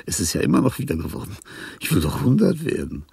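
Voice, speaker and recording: Dying lonely old man, confused and weak, gravelly, pitch swinging a lot, whispery radio drama. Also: very animated, mellow, no echo, recorded from a distance, exaggerated voice. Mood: stubbornly hopeful. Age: older. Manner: halting, murmuring, often dropping into whispers. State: weak, weary. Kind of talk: radio drama acting, interior monologue.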